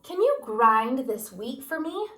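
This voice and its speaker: A woman's voice: high voice, sing-song, slow pace, bright, forceful tone, distant mic, a little hiss or hum, exaggerated voice. A woman reading aloud and voicing an eager little boy who wants pancakes, speaking in a pleading way. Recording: echoey room, voice off-mic.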